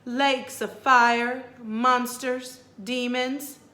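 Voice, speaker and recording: A sad, ancient storybook ghost queen, played by a woman, regal and formal. Her pitch sits high and her words come slowly and dramatically, in a roomy-sounding space. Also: flat delivery, sharp tone, exaggerated voice. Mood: grave, ominous.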